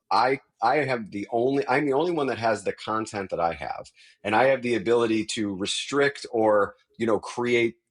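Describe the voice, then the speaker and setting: A man's voice: on the low side, husky. A man who is a guest on a tech podcast, explaining industry shifts in a thoughtful, conversational way. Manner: thoughtful, explanatory, with frequent restarts.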